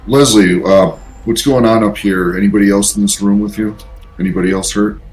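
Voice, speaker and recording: A man's voice: lowish voice, little pitch movement, brisk, a little mellow, soft background music. A man playing a tabletop role-playing game, speaking in character as a squad leader.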